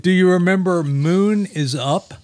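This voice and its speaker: A man's voice: sits high, unhurried, a little mellow, no echo. Agreeable American man, close dry sound. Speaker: radio show co-host. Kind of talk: casual chat with music trivia.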